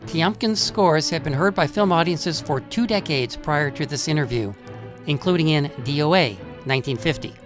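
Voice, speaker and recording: A man narrating a scripted essay on film music in a measured, explanatory manner. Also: a little high.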